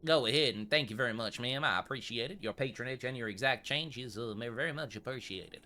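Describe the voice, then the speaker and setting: A man's voice: medium pitch, a little fast, pushed and bright, lightly acted. A man playing a fussy frontier gun shop owner in gameplay commentary, with a put-on American Old West accent and wordy, mock-formal courtesy. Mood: courteous. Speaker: gaming video creator.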